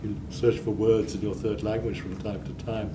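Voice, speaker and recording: A man hosting a book event, asking the author about her work in a measured manner with a few fillers. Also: fairly low pitch, flat delivery. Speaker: event host and interviewer. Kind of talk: interviewer's questions. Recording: roomy room, distant mic, clear background noise.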